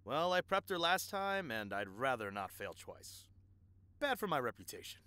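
Casting: actor for restless, cocky male henchman, sharp bright voice, very animated, audio roleplay. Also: mid-range voice, normal speed, clear, resonant tone. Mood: cocky, probing. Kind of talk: audio roleplay drama acting.

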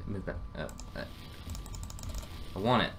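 Deep-voiced young man over game noise. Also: expressive, unhurried. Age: young adult. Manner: exclamatory, sweary, repeats short phrases. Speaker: gamer, let's play host. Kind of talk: casual gameplay commentary. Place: small indoor room. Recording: clear game noise behind. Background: horror game ambience.

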